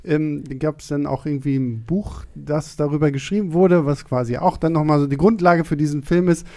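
A man's voice: fairly high pitch, normal speed, mellow. A man hosting a film podcast in a studio, chatting loosely with many fillers and hesitations. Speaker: podcast host. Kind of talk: casual film podcast chat.

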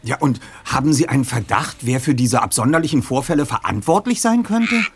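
An actor playing a courteous, brainy detective in a German audio drama, with formal, precise wording. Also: male voice, mid-range voice, expressive, a little fast, slightly rough. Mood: inquiring.

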